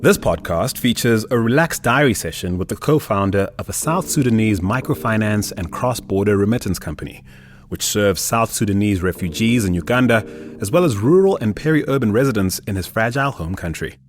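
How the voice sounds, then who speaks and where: A man's voice: on the low side, expressive, brisk. A man hosting a podcast, moving between scripted narration and conversational interviewing. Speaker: podcast host.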